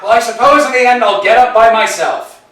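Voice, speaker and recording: An actor playing an earnest, exasperated, bossy tramp in a staged play reading, with theatrical, emphatic delivery. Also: male voice, very high voice, brisk, a bit bright. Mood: urgent. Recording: very echoey room, distant mic, faint noise.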